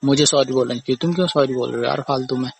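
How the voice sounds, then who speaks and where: A man's voice: medium pitch, racing, gravelly, a little hiss or hum. A young man arguing casually in an accusing, repetitive, aggrieved way on a phone call recording. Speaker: boyfriend or husband on a phone call. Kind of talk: casual argumentative phone conversation.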